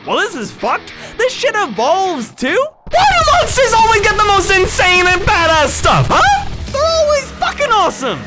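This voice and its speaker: A host narrating a casual comedic explainer in a sarcastic, mock-dramatic way, swearing freely. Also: mid-range voice. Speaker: video host. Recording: background music under the voice. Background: music.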